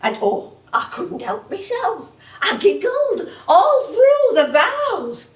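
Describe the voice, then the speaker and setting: An actress playing a feisty, nostalgic veteran music hall comedienne in a stage play, with a theatrical, performative storytelling manner. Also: female voice, extremely high pitch, takes it slowly, recorded from a distance, heavily acted. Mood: amused. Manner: animated, performative. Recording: roomy live theatre recording.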